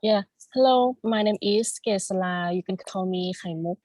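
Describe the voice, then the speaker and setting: A young Thai woman university student giving calm, carefully worded mock job interview answers in English over a video call. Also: mid-range voice, normal speed, dry recording, studio quality. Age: young adult. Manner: calm, careful, formal wording.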